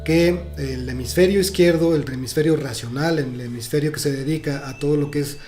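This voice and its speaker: Earnest man, mellow voice. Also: fairly high pitch, fairly quick, soft background music. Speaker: podcast co-host. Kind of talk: casual panel discussion.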